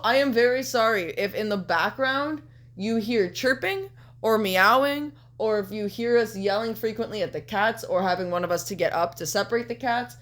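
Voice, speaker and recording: A woman's voice: medium pitch, a bit bright. Stuffy-nosed young woman podcast host. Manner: swears freely, rambling with frequent ums. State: congested, coughing, sniffly. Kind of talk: casual podcast chat.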